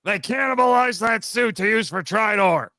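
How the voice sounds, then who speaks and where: A man's voice: high-pitched, animated, normal speed, creaky, pushed and bright, no echo, clean studio sound. A man chatting casually as a podcast guest, explaining trivia in a teasing, mock-condescending way. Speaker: podcast guest, fan audio drama writer and voice actor.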